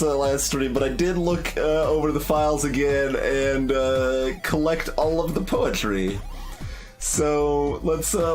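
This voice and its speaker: A man streaming a game playthrough, talking casually to viewers in a hesitant, rambling way as he thinks aloud. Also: fairly high pitch.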